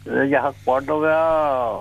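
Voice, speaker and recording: Rambling guy on the radio talking football, gravelly voice, trips over his words. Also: mid-range voice, steady tone, lo-fi sound. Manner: rambling, halting, slangy. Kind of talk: casual football talk on radio.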